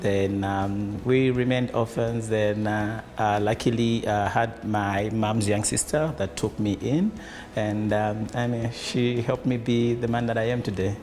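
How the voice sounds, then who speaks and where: A man's voice: on the low side, steady tone, measured pace, darkish tone. A man from Zambia, a business founder, answering interview questions in a hesitant way with many fillers. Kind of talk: conversational interview.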